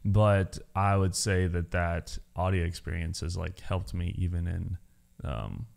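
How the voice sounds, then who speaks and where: A man telling a story in casual podcast chat, relaxed and full of fillers. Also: sits low, a little slow, gravelly. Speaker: podcast co-host.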